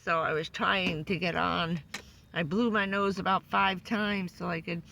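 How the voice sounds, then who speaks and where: A hoarse woman who says she is losing her voice, giving a loose, chatty van-life update full of fillers. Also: fairly low pitch, fairly even intonation, unhurried, sharp tone, slight background noise, poor audio. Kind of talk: casual rambling live update. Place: living in a van.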